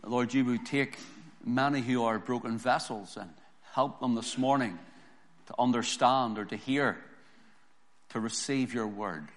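A hoarse man preaching a sermon, reading scripture slowly and building to emphatic preaching. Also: medium pitch. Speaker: pastor.

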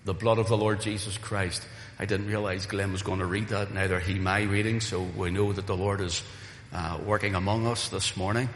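A man with a low voice preaches a sermon, earnest and building to emphatic calls to his congregation. His voice is still a little weak from recent voice loss. The sound is roomy, with a long hall reverb and faint ambience behind. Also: flat delivery, normal speed, a little hiss or hum. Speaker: pastor. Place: church hall.

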